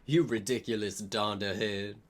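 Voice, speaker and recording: A man's voice: lowish voice, steady tone, normal speed, slight background noise, voice pushed down, natural voice. A man voicing a menacing, scornful wizard schoolmaster who makes threats in a comic story reading.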